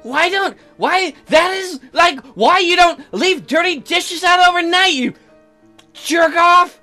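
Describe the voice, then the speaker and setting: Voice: very high-pitched, slow, pushed and bright, faint music behind, pitched above the performer's own voice, heavily acted. A performer voicing an annoyed, bossy young wife in a visual novel, with snappish, sarcastic scolding. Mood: angry.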